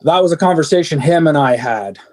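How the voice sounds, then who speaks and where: Middle-aged guy rambling earnestly about his life, kinda high voice, lots of um and like. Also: expressive, brisk, darkish tone. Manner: earnest, rambling with restarts and fillers. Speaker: band singer. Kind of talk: candid interview conversation.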